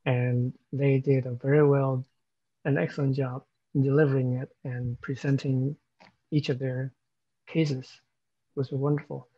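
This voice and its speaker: A man's voice: medium pitch, little pitch movement, unhurried, slightly rough, no echo. A man from Taiwan, guest at an online club meeting, gives friendly feedback with plenty of "you know", over a video call. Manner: warm, encouraging, filler-heavy with you know. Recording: dull dry video call audio.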